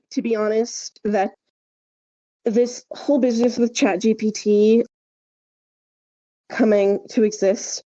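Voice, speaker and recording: A woman's voice: a little high, mellow, muffled recording. A woman chatting casually and emphatically as a podcast co-host, wry and discursive, with plenty of "like" along the way. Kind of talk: casual opinionated podcast chat.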